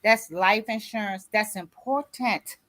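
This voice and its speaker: Woman in her sixties, radio host doing motivational chat, emphatic and exhorting, keeps checking you get it, mid pitch. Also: a little slow, a bit bright. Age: 60s. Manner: emphatic, exhorting, often checking listeners follow. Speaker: host and author mentoring co-authors. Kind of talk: motivational conversational radio talk.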